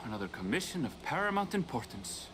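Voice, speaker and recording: An actor playing a weary gleaner in a fantasy video game, muttering wryly. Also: male voice, mid-range voice, sing-song, fairly quick, lightly acted. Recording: game audio with noticeable background noise.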